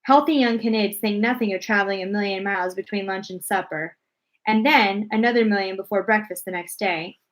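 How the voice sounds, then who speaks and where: Expressive woman narrating a children's storybook. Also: mid-range voice, a little fast, poor audio. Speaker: read-aloud narrator.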